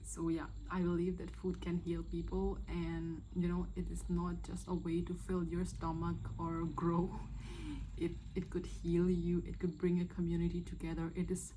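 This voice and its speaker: A young woman in her twenties, from Myanmar, talks through a casual vlog Q&A with plenty of fillers and restarts. Her voice sits a little low and sounds warm and mellow. A faint hiss runs under the recording. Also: monotone, moderate pace, poor audio. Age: 20s. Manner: chatty, hesitant, with many fillers. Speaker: vlogger. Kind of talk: casual first-video Q&A chat.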